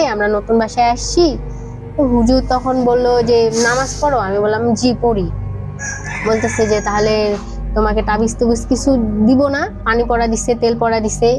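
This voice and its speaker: A woman's voice: on the high side, fairly even intonation, normal speed, low-quality recording. A young woman with a Bangladeshi accent recounting a personal ghost experience in a conversational way as a podcast guest. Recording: music playing behind the voice. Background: background music.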